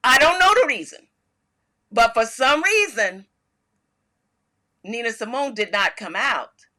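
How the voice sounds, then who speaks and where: Loud, lively older woman storyteller. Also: a little high, unhurried. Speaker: podcast co-host. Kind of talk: casual storytelling chat.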